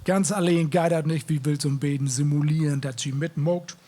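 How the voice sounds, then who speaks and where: A man's voice: fairly high pitch, steady tone, normal speed, dark tone. A man with a North German accent, a singer chatting to his audience between songs in a folksy way at a live concert. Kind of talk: stage banter between songs. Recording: live concert sound with music. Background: music.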